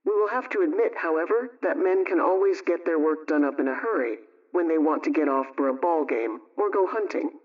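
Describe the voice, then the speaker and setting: 1920s radio homemaking adviser, brisk and friendly, reads out tips evenly, muffled old recording kinda far from the mic. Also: female voice, sits low, animated. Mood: instructive, friendly.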